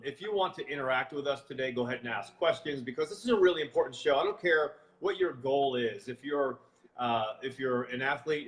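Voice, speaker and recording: A man's voice: fairly bright tone, low-quality recording. A man hosting a live online health chat over a video call, rambling and restarting his sentences. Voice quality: booming voice. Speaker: host.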